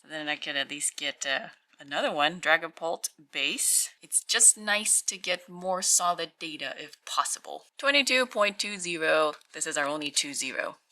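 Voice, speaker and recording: A woman with a croaky morning voice, casually talking to herself while unboxing at a tabletop and reading out numbers. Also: low voice, lively intonation, bright, forceful tone. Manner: slow, musing, reads out weights. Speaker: channel host opening card packs. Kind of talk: casual hands-only unboxing commentary. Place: indoors at a tabletop.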